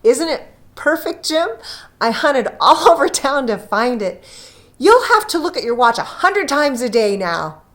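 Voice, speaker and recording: An eager young wife from a storybook, acted out by a woman, loving and excitable. Her voice sits high and swings widely as she pleads with breathless emphasis. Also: unhurried, full character voice. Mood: excited. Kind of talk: storybook character reading.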